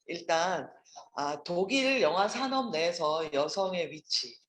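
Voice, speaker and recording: A woman panelist giving discussion remarks, quick and lively, pausing to rephrase. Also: fairly low pitch, reverberant.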